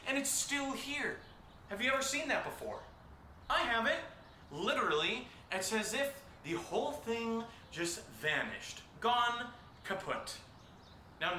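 A high, sharp-voiced young man, played by an actor, sarcastic and fed up, rants with wild swings of pitch. He swears freely and puts on mock cheer, as in an audio drama, in an echoey room. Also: slight background noise, heavily acted. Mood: mock-cheerful. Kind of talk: audio drama acting as a livestream rant.

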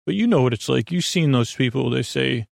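A man hosting a bedtime podcast, rambling in a halting, self-deprecating way, often stammering and repeating himself as he wanders off on tangents. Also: medium pitch, animated, vocal fry, dry recording, studio quality. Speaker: podcast host.

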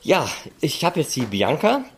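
A man's voice: fairly high pitch, wildly swooping pitch, a little slow, slight background noise. A man hosting a fan podcast, interviewing people in an informal, chatty way.

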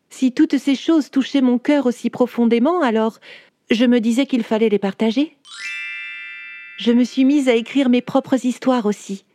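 A woman voicing a 19th-century woman writer who tells her own life story to children in a chatty, warm way, speaking directly to the listener. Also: fairly high pitch, no room sound, studio quality, lightly acted. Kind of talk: first-person storytelling for children.

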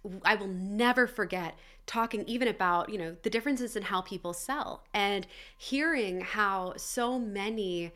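A woman's voice: medium pitch, normal speed, hardly any pauses, fairly bright tone. An American woman in a casual, reflective interview conversation, candid and discursive, peppering her talk with conversational fillers. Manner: candid, discursive, frequent "you know" and "like". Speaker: interviewer and business owner.

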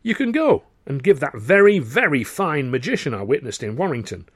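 A jovial, touchy middle-aged impresario, voiced by a man reading a story. He hems and haws, then snaps, his pitch leaping about. The voice is a little husky and close and dry. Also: very animated, normal speed, dry recording. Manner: blustering, hesitant then snapping.

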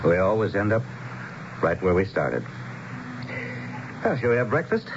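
A genial small-town guide in a 1970s radio drama. His low, animated voice delivers folksy, clipped explanations, heard through a dull recording with heavy vehicle noise. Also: a little slow. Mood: knowing. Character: genial, knowing male small-town local guiding a newcomer.

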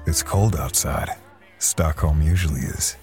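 A man's voice: rumbling low, measured pace, a little mellow, faint music behind. A man playing a lonely, awkward artist in a first-person audio drama, with a self-conscious manner. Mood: wistful narration. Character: lonely, awkward male artist narrating his story.

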